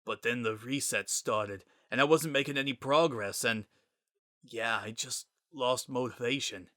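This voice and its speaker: A man voicing a wry, laid-back skeleton who hides his grief behind casual, halting, self-deprecating talk in a story reading. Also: medium pitch, bright, forceful tone. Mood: regretful. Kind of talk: dramatic fan-fiction reading.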